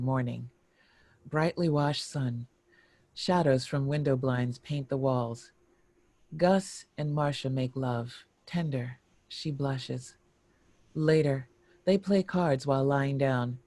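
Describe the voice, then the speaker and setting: A man narrates a screenplay in a mid-range voice. The sound is dry, with little room around it. The reading is measured and descriptive. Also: medium pitch, a little mellow, no room sound. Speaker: stage-direction narrator.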